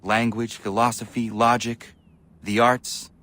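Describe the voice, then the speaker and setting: An actor playing a determined young assassin apprentice, formal, plain-spoken and a little naive, in a video game. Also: male voice, medium pitch, little pitch movement, unhurried, bright, forceful tone. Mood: reflective narrating. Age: young adult. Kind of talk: video game cutscene acting and narration.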